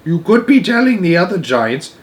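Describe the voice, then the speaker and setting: A man voicing a gentle, wistful storybook giant with muddled, ungrammatical folksy speech. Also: sits high, very animated, normal speed, voice pushed up. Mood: suspicious. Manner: ungrammatical, jumbled made-up words.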